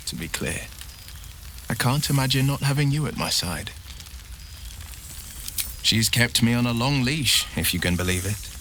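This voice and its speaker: An actor playing a loyal, noble-minded adventurer with wry humour and an earnest storytelling manner, in a video game. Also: male voice, medium pitch, sharp tone, a little hiss or hum. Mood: heartfelt, then confident. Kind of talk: video game dialogue acting.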